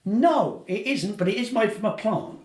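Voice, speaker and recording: A man's voice: high voice, big pitch swings, moderate pace, a little mellow, reverberant. A man co-hosting a podcast in casual chat, playful and teasing with his guests. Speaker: podcast co-host.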